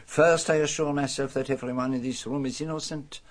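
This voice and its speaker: An actor playing a shrewd, courteous detective, formal and deliberate in a radio drama. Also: male voice, mid-range voice, steady tone, lightly acted. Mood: probing, firm. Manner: formal, deliberate, inverted foreign phrasing. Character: shrewd, courteous foreign detective, probing and commanding man.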